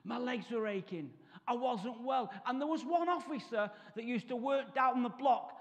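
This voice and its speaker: A man's voice: extremely high pitch, normal speed, highs cut off. A man in his fifties with a Mancunian accent, giving his testimony at a church service, storytelling with comic asides and acted-out voices. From Salford, Manchester. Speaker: guest speaker. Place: large church hall, stage. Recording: reverberant church hall.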